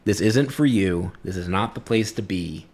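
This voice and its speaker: A man's voice: low-pitched, moderate pace, darkish tone. A man voicing an irritated undead male dwarf who guards his crypt home, exasperated and casual, in a tabletop game. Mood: weary, annoyed.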